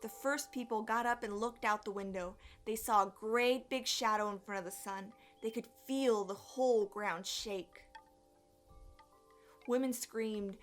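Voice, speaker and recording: A woman reading a children's story aloud in an expressive, deliberate storyteller's way. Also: on the high side. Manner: animated, deliberate storytelling with acted sound effects. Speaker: storyteller, elementary music teacher.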